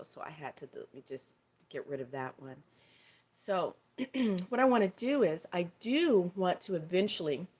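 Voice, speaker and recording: Low-voiced middle-aged woman, a bit hoarse. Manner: loose and rambling, with fillers and self-corrections. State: dry, hoarse voice. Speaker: live stream host and tarot reader. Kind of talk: casual spoken livestream talk.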